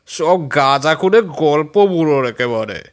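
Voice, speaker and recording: A man's voice: fairly high pitch, big pitch swings, takes it slowly. A man playing a sceptical village tea-stall keeper in a cartoon, talking in a mocking, dismissive way. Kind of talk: cartoon dialogue.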